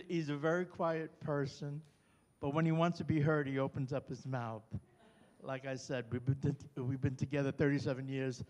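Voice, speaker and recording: An older man with a Brooklyn accent, loud and chatty, introducing himself and his partner at a community meeting. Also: medium pitch. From New York. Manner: loud, chatty, self-deprecating. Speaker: new neighborhood representative. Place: chapel hall. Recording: reverberant hall.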